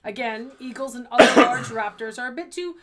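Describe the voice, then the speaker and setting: A woman's voice: a little high, animated, fairly quick, fairly bright tone. A middle-aged woman co-hosting a livestream, mixing reading aloud with casual banter and dry, playful interjections. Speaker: livestream co-host.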